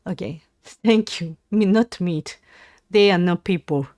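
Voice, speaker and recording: A Japanese woman with a Japanese accent, practising language aloud on a casual livestream in a halting, hesitant way. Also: lowish voice, a little mellow, no room sound. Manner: hesitant, halting English with self-corrections. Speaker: live streamer learning English. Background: computer keyboard typing and clicking.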